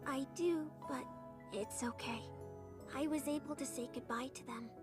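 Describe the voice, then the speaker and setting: A woman's voice: sits high, moderate pace, music in the background, natural voice. A woman voicing a sweet, earnest and brave young orphan girl in an English-language video game. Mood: subdued, sincere.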